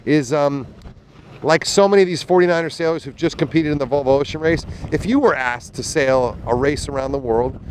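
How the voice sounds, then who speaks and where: A man's voice: a little high, fairly quick, darkish tone, a little hiss or hum. Chatty male sailing commentator on a boat. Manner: chatty, enthusiastic, many fillers and restarts. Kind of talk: live race commentary. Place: small motorboat on open water, outdoors. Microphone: handheld mic with fuzzy windscreen.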